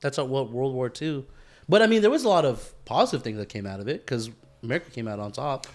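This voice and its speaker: A man co-hosting a podcast, chatting casually in a slangy, profane, animated way. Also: medium pitch, fast talker. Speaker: podcast co-host. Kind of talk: casual chat.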